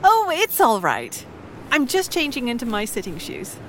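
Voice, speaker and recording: An actress playing a genteel older society widow in an audio drama, polite, chirpy and refined. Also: female voice, on the high side, huge pitch swings, normal speed, fairly bright tone, slight background noise. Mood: cheerful, polite. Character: genteel, busy English society widow, bright and chatty.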